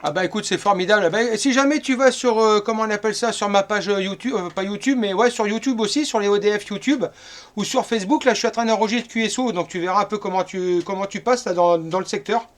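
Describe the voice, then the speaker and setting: A man's voice: high-pitched, fast. A man in his fifties from northern France, chatting casually and at length as a radio operator, often repeating his words. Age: 50s. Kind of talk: casual radio contact chat.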